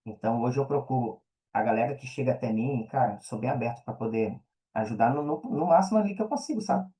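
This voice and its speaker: A man's voice: mid-range voice, quick pace, dark tone, rough recording. A man with a Brazilian Portuguese accent, speaking casually as an interview guest, with slangy phrasing and frequent check-in tags. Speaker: interview guest, affiliate marketer and mentor. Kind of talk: casual conversational interview answers.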